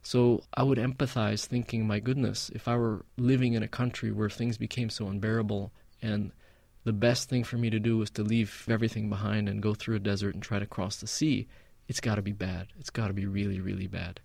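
A man of Palestinian background, a guest in an interview, telling stories in a measured, reflective way with frequent fillers. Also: fairly low pitch, flat delivery, gravelly, darkish tone, no room sound. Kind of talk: conversational interview.

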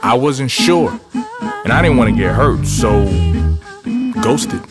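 An actor voicing a young man who is wary of love, speaking slowly and reflectively in an intimate spoken-word delivery. Loud music plays under the radio theater monologue. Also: medium pitch, animated. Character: young man guarded about falling in love.

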